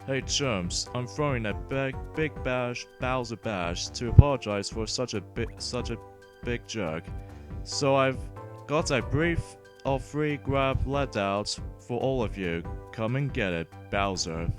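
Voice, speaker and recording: A man's voice: medium pitch, a little slow, close to an everyday voice. A man voicing a big, bullying turtle king from a video game, bossy and gloating, stumbling a little as he reads. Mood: taunting. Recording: music playing behind the voice.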